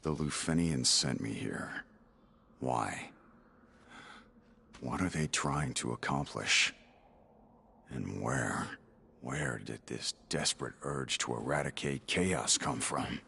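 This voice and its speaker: A man's voice: very deep voice, takes it slowly, vocal fry, long pauses, pushed and bright, slightly rough, heavily acted. A man playing a brooding, driven warrior in a video game cutscene, speaking tersely and dramatically. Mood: troubled. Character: brooding, driven adult male warrior hunting chaos.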